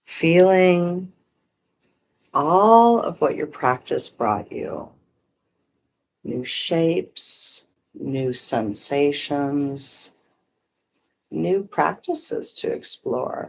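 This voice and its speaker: A meditation teacher guiding breath and visualization in short, gently permissive phrases with long pauses. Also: mid-range voice, gravelly, slight background noise, poor audio. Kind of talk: guided meditation, unhurried instruction.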